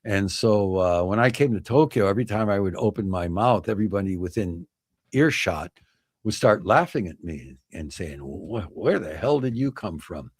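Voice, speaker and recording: A man's voice: low voice, animated, moderate pace, husky, dry recording, studio quality. An older man, over sixty, hosting a livestream, chatting casually and reflectively, with rambling recollections and self-corrections. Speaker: livestream host.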